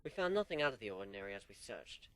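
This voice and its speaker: A man narrating a fan story in the first person as an amateur dramatic reading, animated and sinking low for suspense. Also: quick pace. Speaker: story reader.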